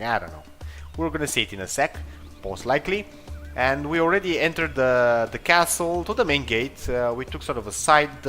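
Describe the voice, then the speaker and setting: A man's voice: mid-range voice, a bit bright. Man rambling through casual game commentary. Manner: rambling, hesitant, thinking aloud. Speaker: video game player commentator. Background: game music.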